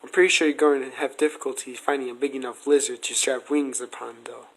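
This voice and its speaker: A man's voice: medium pitch, fairly even intonation, fast, fairly bright tone, rough recording. A man hosting a podcast, asking questions in a casual interview style.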